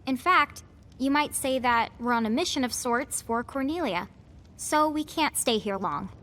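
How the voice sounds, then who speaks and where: A woman's voice: high-pitched, animated, normal speed, fairly bright tone. A woman voicing a polite, sensible teenage girl adventurer in a video game. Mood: polite, upbeat. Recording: game music playing behind.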